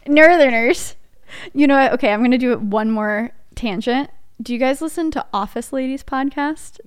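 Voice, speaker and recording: A woman's voice: sits high, normal speed, smooth. A young woman from Texas with a slight Southern accent, co-hosting a podcast in casual, rambling chat full of tangents. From Texas, USA. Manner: giggly, rambling, goes off on tangents. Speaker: podcast co-host.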